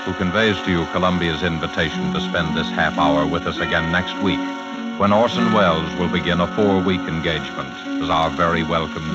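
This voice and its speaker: A muffled vintage broadcast of a man with a deep voice over prominent music. He narrates a suspense programme in a measured, formal, ominous announcer style of the 1940s. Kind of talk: 1940s radio scripted narration. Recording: muffled 1940s radio, loud music behind.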